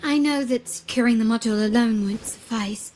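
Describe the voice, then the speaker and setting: Composed young heiress agent, played by a woman, higher voice. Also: a little fast, darkish tone, natural voice, poor audio. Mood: resolute. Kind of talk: video game voice acting.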